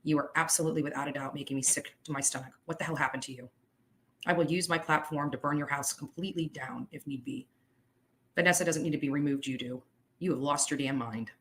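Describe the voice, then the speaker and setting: A man's voice: on the high side, fairly even intonation, fast, a bit bright, a little hiss or hum. A man delivering an angry, indignant rant addressed straight at someone, scolding emphatically.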